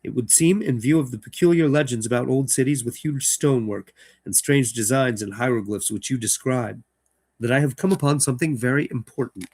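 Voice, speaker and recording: A man's voice: medium pitch, a little fast, a little mellow, slightly rough. A man voicing an earnest male prospector reading out a formal letter.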